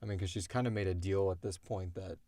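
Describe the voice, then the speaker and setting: A man's voice: sits low, steady tone, fast talker, mellow, dry recording. A man talking in a casual, rambling, conversational way with lots of "like", as a show's creator answering listener questions. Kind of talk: casual Q&A discussion.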